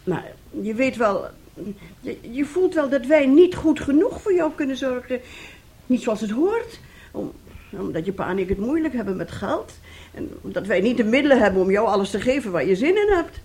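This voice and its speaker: A woman's voice: on the high side, very animated, moderate pace, dark tone. A middle-aged actress playing a bossy, scheming, self-pitying mother who coaxes and scolds in a radio play. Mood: persuasive.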